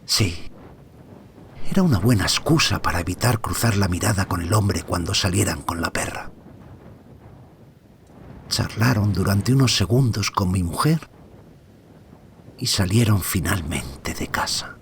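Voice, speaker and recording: An anxious father telling his own mystery in an audio drama. His male voice sits low and stays brooding, often sinking toward a whisper. There is some background noise in the recording. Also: animated, a little slow, creaky, pauses often, full character voice. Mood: uneasy, brooding. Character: anxious married father, first-person narrator of a mystery. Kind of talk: audio fiction first-person dramatic narration.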